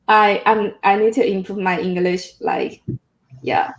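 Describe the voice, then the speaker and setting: Slow, hesitant woman. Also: mid-range voice, reverberant, poor audio. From Hong Kong. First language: Chinese. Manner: hesitant, searching for words, many "like"s. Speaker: guest translator.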